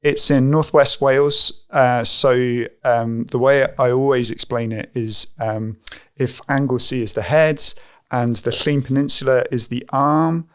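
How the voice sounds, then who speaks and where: A man's voice: mid-range voice, fairly even intonation, unhurried, fairly bright tone, smooth. A man working as a pastor, answering interview questions thoughtfully and hesitantly, with plenty of fillers and restarts. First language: English. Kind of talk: conversational interview.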